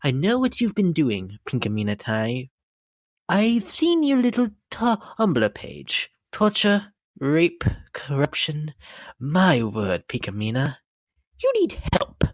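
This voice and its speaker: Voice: medium pitch, huge pitch swings, slow, dry recording, studio quality, heavily acted. A performer voicing a vain, lovesick, unhinged lady pony in a dramatic reading, with a prim, theatrical, affected delivery. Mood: scandalized. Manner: theatrical, genteel, fussy, affected, swooping. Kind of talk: dramatic reading of a fan-made character blog. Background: dramatic instrumental music.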